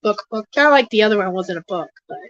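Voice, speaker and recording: A hoarse, congested woman hosting a livestream, rambling casually with lots of fillers and restarts. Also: mid-range voice, animated, fast, fairly bright tone, dry recording, muffled recording. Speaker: host of an advocacy livestream. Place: home desk.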